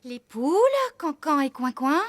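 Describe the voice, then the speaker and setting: An actress playing a brisk, caring young woman farmer in a French children's cartoon, giving animated, clear instructions. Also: sits high, no room sound. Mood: brisk, cheerful. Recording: music under the voice.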